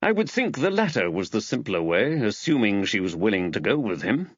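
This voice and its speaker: A man voicing an inquisitive, calmly speculating man in conversational audiobook dialogue. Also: fairly low pitch, brisk, breathy edge, dry recording, lo-fi sound. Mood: speculative.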